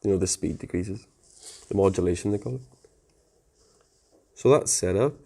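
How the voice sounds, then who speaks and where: A man's voice: sits low, moderate pace, gravelly, warm tone, slightly rough. A man giving a casual technical tutorial in an informal, plain-spoken, conversational way. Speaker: technical presenter explaining network setup.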